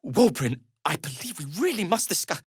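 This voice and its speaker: An actor playing an anxious, devoted gnome inventor in a video game, speaking in a hesitant, politely formal way. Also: male voice, high voice, huge pitch swings, normal speed, husky, no room sound, clean studio sound, heavily acted. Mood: hesitant, placating. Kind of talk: video game character acting.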